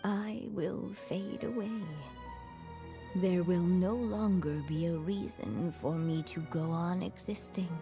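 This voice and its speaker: An actress playing a gentle, earnest mother and writer in a video game, speaking softly and in measured phrases. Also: fairly low pitch, fairly even intonation, creaky, over music. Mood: tender, resigned. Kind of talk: scripted video game voice acting.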